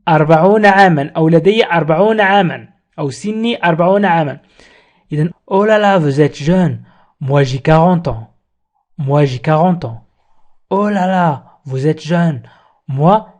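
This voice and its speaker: High-voiced man teaching French slowly. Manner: slow, deliberate repetition of each phrase, then quick explanations. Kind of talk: language lesson, repeating and translating phrases.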